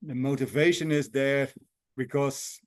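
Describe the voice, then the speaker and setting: A man in his sixties, a lifestyle coach and interview guest, speaking earnestly over a video call in halting phrasing with frequent restarts. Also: medium pitch, steady tone, no room sound. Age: 60s. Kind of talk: casual interview.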